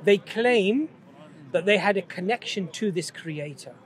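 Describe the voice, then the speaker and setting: A man's voice: high-pitched, expressive, measured pace, over music. A man preaching in a street debate, assertive and lecturing, firing rhetorical questions. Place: city street outdoors.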